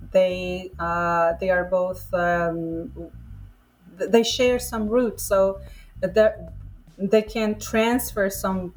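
A woman from Iran, a native Persian speaker, who talks as a podcast guest and English teacher in a thoughtful, halting way with frequent uhs. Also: mid-range voice, a little mellow, poor audio. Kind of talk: casual podcast conversation.

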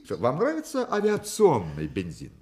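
A man's voice: mid-range voice, huge pitch swings, normal speed, darkish tone. An actor playing a witty, commanding male con man in a Russian radio play, talking with mock-pompous irony and grand declamation. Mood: teasing, confident.

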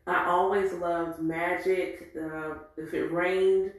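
A woman in her forties telling a candid, reflective personal story in an animated way. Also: lowish voice, takes it slowly. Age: 40s. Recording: very echoey room.

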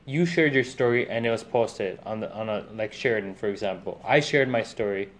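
A man's voice: lowish voice, fairly quick. A man hosting a casual conversation, chatty and full of "like" and "right?".

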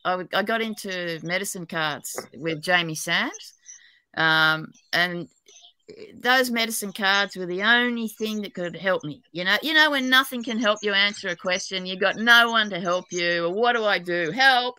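A woman's voice: mid-range voice, normal speed, pushed and bright, clear, resonant tone, no room sound, poor audio. An Australian woman from New South Wales, a psychic and dowser, chatting in a rambling, talkative way and laughing often. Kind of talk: casual podcast chat.

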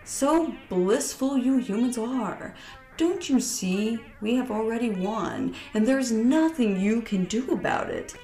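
A woman voicing a cold, menacing alien invader in a story, with slow, drawn-out, taunting delivery. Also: fairly high pitch, very animated, a little mellow, reverberant, faint music behind, rough recording. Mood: cold gloating. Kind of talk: storybook villain narration.

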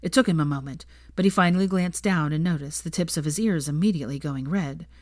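A woman narrates a story in a measured, gentle manner. Her voice is a little low, and the recording is dry and close, with very little room sound. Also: no room sound. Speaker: audiobook reader of fan fiction.

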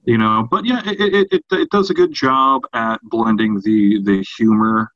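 A man with a fairly low voice co-hosts a casual podcast. He thinks aloud haltingly as he talks. The recording is very dry and a bit dull and lo-fi. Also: fairly bright tone, no room sound. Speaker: podcast co-host. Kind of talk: casual film review chat.

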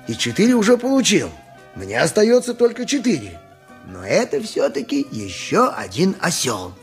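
A harried, humble donkey driver from an old radio play. His pitch sits slightly high and moves in lively swings over faint music, as he talks like someone used to giving in. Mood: brooding. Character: poor, harried, submissive donkey driver of ancient Greece. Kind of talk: 1980s radio play acting, dialogue and first-person narration.

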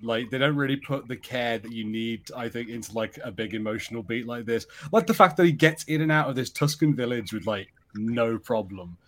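A man with a mid-pitched voice gives his take as a podcast panelist. His talk is casual, critical and rather dismissive. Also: fairly quick, hardly any pauses. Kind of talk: casual film watch-party chat.

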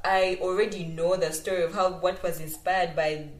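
A woman with a fairly low voice speaks as an interviewer in a very roomy, echoing space. She puts her questions in a conversational way. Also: steady tone, moderate pace. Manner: listens with frequent small acknowledgements. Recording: roomy indoor echo.

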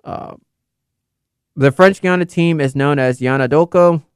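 A man's voice: fairly high pitch, measured pace, smooth, no room sound, studio quality. A young man in casual, unscripted commentary, rambling and correcting himself with many fillers. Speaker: football video host.

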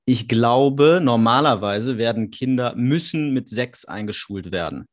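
A man's voice: medium pitch, lively intonation, a little slow. A man in his thirties hosting a casual interview over a video call, chatty and curious. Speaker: podcast host.

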